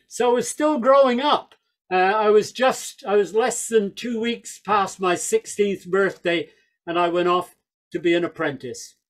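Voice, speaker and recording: An older man with a high voice tells stories in a wry, chatty way, throwing in asides. He talks over a video call into a headset mic from a small room at home. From Northern Ireland, raised in England. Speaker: folk singer and speaker. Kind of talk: storytelling talk on a video call.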